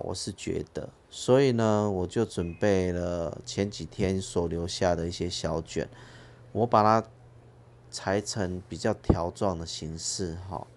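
A man's voice: low-pitched, gravelly, a little mellow, a little hiss or hum. A man hosting a fishing channel, explaining his rig step by step in a plain casual way outdoors at a harbour. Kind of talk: casual how-to narration.

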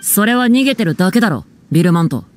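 A man voicing an earnest, resolute young theatre troupe member in an anime game, solemn and deliberate. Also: high-pitched, sing-song, soft background music. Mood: challenging.

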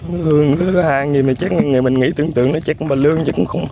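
A man giving excited, casual running commentary to viewers while fishing outdoors on a muddy riverbank. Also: a little high, very fast, vocal fry, noisy background. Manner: excited exclamations, talking to viewers. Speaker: fishing vlogger.

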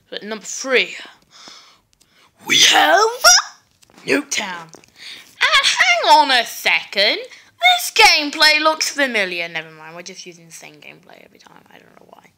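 Bright, lively woman, slangy gaming chat. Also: on the high side, measured pace, long pauses. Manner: slangy, brash, swears for effect. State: coughing, voice a bit off. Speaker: gaming video creator. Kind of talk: casual gaming commentary.

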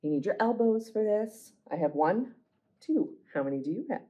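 A woman's voice: medium pitch, expressive, moderate pace, warm tone, highs cut off. A woman hosting a children's storytime, speaking expressively to young listeners and often putting questions to them. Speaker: library storytime host. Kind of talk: children's storytime, reading aloud and chatting to young viewers.

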